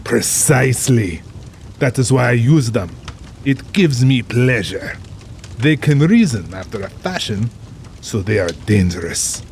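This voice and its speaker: A suave, pompous aristocratic general, rain behind. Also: male voice, medium pitch, expressive, measured pace, darkish tone, a little hiss or hum. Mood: cold, menacing. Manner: pompous, suave, amused, formal diction. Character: urbane, cold-blooded older aristocratic general, a big-game hunter. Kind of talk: dramatized audiobook acting.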